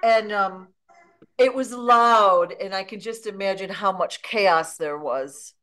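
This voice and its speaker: A woman's voice: medium pitch, slow pace, fairly bright tone. A middle-aged woman hosting a casual interview over a video call from home. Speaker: host. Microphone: computer mic on a video call.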